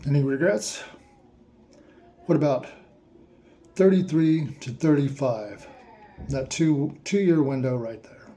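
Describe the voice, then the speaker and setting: A man slowly asking listeners a series of reflective questions, with playful asides in a surprised voice. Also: mid-range voice, warm tone. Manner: slow, repetitive questions with mock-surprised asides.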